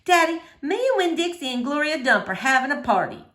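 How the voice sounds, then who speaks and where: A woman's voice: high-pitched, very animated, brisk, a bit bright, voice pushed up. A woman voicing an eager, pleading young Southern girl in a storybook, polite, folksy and insistent.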